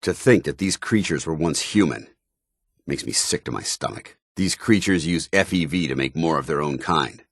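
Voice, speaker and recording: An actor playing a stern, zealous soldier companion in a video game, with an American accent and a formal, earnest military delivery. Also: male voice, a bass voice, animated, moderate pace, slightly rough, dry recording, clean studio sound, exaggerated voice. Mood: disgusted.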